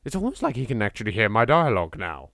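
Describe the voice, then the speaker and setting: A man's voice: fairly low pitch, wildly swooping pitch, quick pace, gravelly, a bit bright, no echo, studio quality. A British man hosting a let's play, commenting with playfully exaggerated reactions. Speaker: gaming video host. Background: game music.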